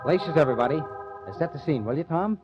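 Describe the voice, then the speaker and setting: A man playing a breezy, joking boss who talks quickly and with lots of lift. It is old-time radio banter, muffled and slightly noisy. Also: on the high side, animated, music in the background, close to an everyday voice. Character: brisk, joking male boss of a mystery publishing house.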